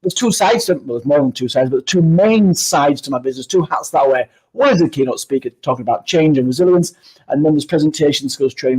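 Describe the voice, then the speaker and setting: A man's voice: medium pitch, darkish tone, slightly rough, rough recording. A man in his fifties with a Yorkshire accent, a down-to-earth guest speaker answering interview questions reflectively. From Yorkshire, England. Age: 50s.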